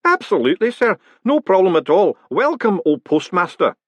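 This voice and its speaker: A man's voice: sits high, big pitch swings, normal speed, no room sound, lo-fi sound, voice pushed up. A man voicing an elderly lodge leader in an audiobook, colloquial and rambling, apologetic and resigned. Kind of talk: audiobook character voice.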